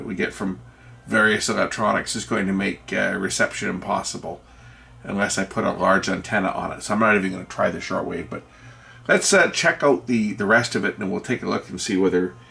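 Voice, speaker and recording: A man's voice: deep voice, vocal fry, sharp tone. A man giving an unscripted hands-on walkthrough of equipment as host of a repair video, thinking aloud as he goes.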